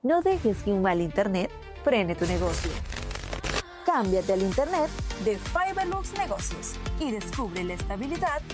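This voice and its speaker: A woman's voice: medium pitch, big pitch swings, unhurried, over music. A woman reading a radio commercial in an upbeat, persuasive manner. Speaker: ad announcer. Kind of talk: commercial read.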